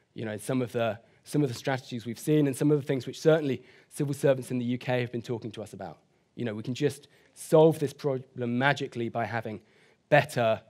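A man in his thirties answering questions after a keynote at a conference, hedging often and speaking quickly. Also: mid-range voice, a little mellow. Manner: hedging, sort of, you know. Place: conference hall stage.

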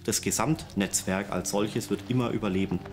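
A man's voice: fairly low pitch, steady tone, moderate pace, music in the background. A man in his forties explaining things as an expert interviewee.

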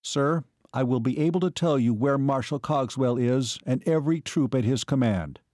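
A man voicing a steady veteran army captain in a story, level and matter-of-fact. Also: mid-range voice, normal speed, dry recording, clean studio sound, lightly acted. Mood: level, flat.

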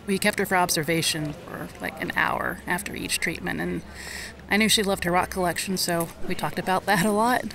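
An actress playing a gentle, remorseful woman in an audio drama, speaking in a halting, reflective way. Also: fairly low pitch, steady tone, vocal fry, a crowd in the background. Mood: wistful, regretful. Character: remorseful woman recalling a sick little girl, gentle. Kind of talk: audio drama acting, conversational.

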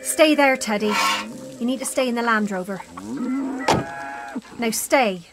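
Loud farmyard noise surrounds a woman acting as a distraught lone female survivor. She speaks slowly and haltingly, grief-stricken, in audio drama style. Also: fairly high pitch, lightly acted. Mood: firm, upset. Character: distraught, lone woman survivor caring for dying farm animals. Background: farm animals, chickens, outdoor ambience.

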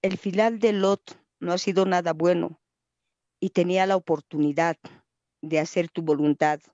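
A woman praying aloud in an earnest, pleading way, often fading softly at the end of each phrase. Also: on the low side, steady tone, normal speed, no room sound, dull audio. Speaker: person praying. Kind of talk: spontaneous devotional prayer.

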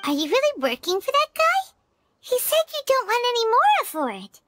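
An excitable, indignant little fairy girl in a cartoonish fantasy game. Her squeaky, childlike voice is extremely high and leaps wildly in pitch. Noise is clearly audible behind her. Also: normal speed, full character voice. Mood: curious. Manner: exclamatory, inquisitive. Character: tiny childlike female floating fairy companion, excitable, indignant. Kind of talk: cartoonish video game acting.

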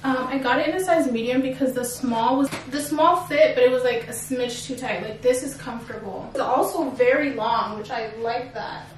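A woman's voice: high-pitched, normal speed, few pauses. A young woman vlogger chatting casually to her viewers in a gushing way, saying "like" often. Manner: gushing, filler-heavy "like" speech. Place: indoors at home, echoey room. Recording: echoey room, far from mic, noisy.

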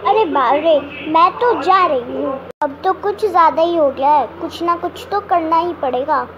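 A scolding mother, played by a young girl, nags and exclaims in exasperation. The voice is very high, in a homemade skit with slight noise. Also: normal speed, muffled recording, natural voice. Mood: exasperated. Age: child, under twelve. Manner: nagging, exclaiming.

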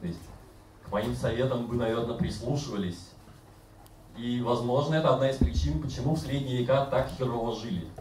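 A man in his thirties doing deadpan stand-up, mocking himself in an even, mid-range voice. His handheld mic is picked up from a distance, so the sound is roomy, with a faint hum of the bar behind him. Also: mid-range voice, a little fast. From Siberia. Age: 30s. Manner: deadpan, self-mocking, acts out other voices. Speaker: stand-up comedian. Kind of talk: stand-up routine. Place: bar stage with audience. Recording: roomy live bar recording from afar.

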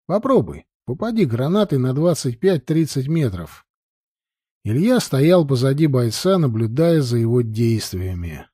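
A man reads an action novel as a scripted narration. His voice is mellow and moves animatedly in pitch, with measured, dramatic phrasing. The recording is dry and close, free of room sound. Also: big pitch swings, breathy edge, no echo. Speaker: audiobook narrator.

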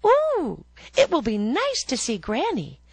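A woman voicing a cheerful, innocent young girl in a read-aloud fairy tale, with a bright, sing-song delivery. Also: sits high, huge pitch swings, takes it slowly, no echo, pitched above the performer's own voice, exaggerated voice. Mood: eager.

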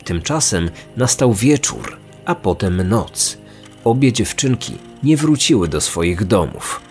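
Man, low voice, scripted true-crime podcast narration, music underneath. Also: very animated, takes it slowly, darkish tone. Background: background music.

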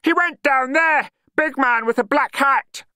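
A man's voice: extremely high pitch, a little slow, bright, forceful tone, no echo, studio quality, pitched above the performer's own voice, exaggerated voice. A man playing a brash, cheeky, streetwise young man in an audiobook, slangy and urgent. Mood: urgent shouting.

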